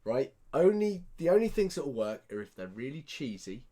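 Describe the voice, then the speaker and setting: A man's voice: mid-range voice, very animated, moderate pace, mellow. A man co-hosting a podcast, giving blunt, emphatic opinions in casual, sweary chat. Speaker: podcast co-host.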